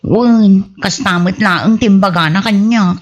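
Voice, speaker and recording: An actress with an Ilocano accent playing a sheepish, earnest village gossip and wife in a radio comedy, plaintive and insistent. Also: female voice, mid-range voice, slow pace.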